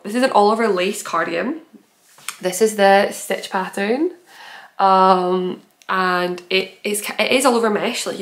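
Young woman, nasal with a cold, chatty giggly craft-podcast host talking to camera at home. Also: mid-range voice. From based in Edinburgh. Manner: chatty, giggly, rambling with fillers. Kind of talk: casual chat to camera. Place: small room at home, bookshelves.

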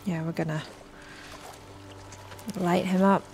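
A woman's voice: lowish voice, steady tone, takes it slowly, creaky, background noise. A woman hosting a reaction video, chatting casually as she watches game scenes.

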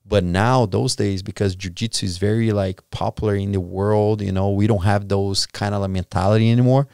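A man in his forties from Brazil, with a Brazilian Portuguese accent, speaking as a podcast guest into a studio boom mic in a halting way, searching for words. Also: fairly even intonation, vocal fry, darkish tone, clear, resonant tone, no room sound. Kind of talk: casual interview. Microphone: studio boom mic with headphones.

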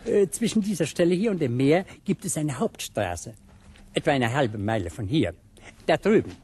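Eerie, knowing gentleman guide, swooping intonation. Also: fairly high pitch, lively intonation, normal speed, breathy edge. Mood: ominous, matter-of-fact. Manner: assured, theatrical, bookish. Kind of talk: German radio drama acting.

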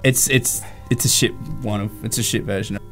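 An Australian man in his thirties co-hosting a comedy unboxing show with sweary, skeptical, excitable banter. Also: fairly low pitch, moderate pace, over music. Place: small dark curtained studio.

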